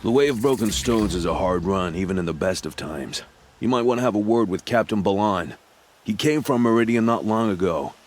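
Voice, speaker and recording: An actor playing a gruff, grateful fort soldier in a video game, giving plain advice. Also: male voice, lowish voice, animated, fairly quick, a little hiss or hum, natural voice.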